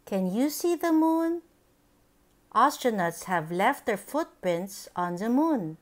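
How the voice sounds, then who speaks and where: A woman reading a picture book aloud for young children, with simple, deliberate, expressive phrasing. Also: a little high. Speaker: children's book reader, channel host.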